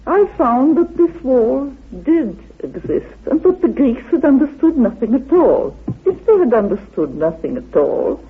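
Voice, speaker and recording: A woman interviewed as a researcher, explaining her ideas like a lecture in a deliberate, dramatic manner. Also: on the high side, husky. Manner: deliberate, dramatic, with rhetorical questions. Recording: narrow phone-like old radio recording.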